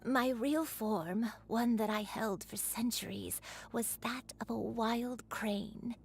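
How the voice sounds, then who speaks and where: A woman voicing a gentle, guilt-burdened old grandmother in a video game, speaking tenderly and formally like a storyteller. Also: on the high side, slow, creaky, slight background noise. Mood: wistful. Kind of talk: dramatic video game voice acting.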